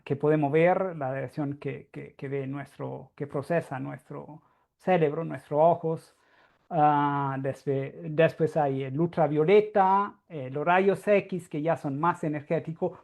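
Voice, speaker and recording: A man with an Italian accent giving an academic conference talk in a halting, explanatory way with frequent restarts; Italian is his first language. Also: a little high, a little mellow. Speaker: guest lecturer, astrophysicist.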